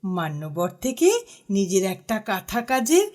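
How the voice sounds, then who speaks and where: An actress playing a doting, chatty elder sister in an audio drama, affectionate and fussing. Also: female voice, mid-range voice, wildly swooping pitch, unhurried, a little mellow. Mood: chatty, teasing. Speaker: supporting character.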